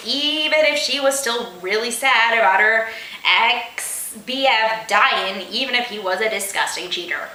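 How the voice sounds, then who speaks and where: A woman's voice: medium pitch, sharp tone, roomy sound, rough recording. A woman reading comic fiction aloud as host, theatrical, with sarcastic, exasperated asides. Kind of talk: comedic dramatic reading with asides.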